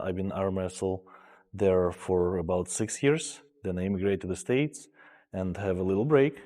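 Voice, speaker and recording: Man in his forties, measured. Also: deep voice, a little mellow, long reverb tail. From Ukraine. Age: 40s. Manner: measured, with frequent "uh" pauses. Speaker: armwrestler. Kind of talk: pre-match interview.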